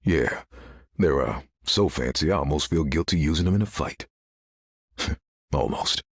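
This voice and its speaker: Game hero: bashful, casual, very low gravel-free husk. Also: male voice, expressive, a little slow, no room sound, clean studio sound, full character voice. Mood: amused, bashful. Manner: hesitant, casual, amused. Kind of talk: video game character voice lines.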